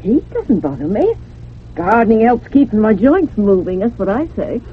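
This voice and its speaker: A woman's voice: medium pitch, big pitch swings, moderate pace, close to an everyday voice. An actress playing an evasive older village woman in a radio drama, homely, defensive and clipped. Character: evasive, guarded village woman who gardens. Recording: noisy, phone-like old radio sound.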